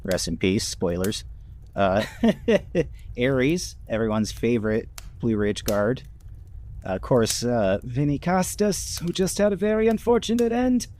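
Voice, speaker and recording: A man chatting casually in a halting, wry, self-mocking way. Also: mid-range voice, sing-song, a little mellow. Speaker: podcast voice actor. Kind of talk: casual chat.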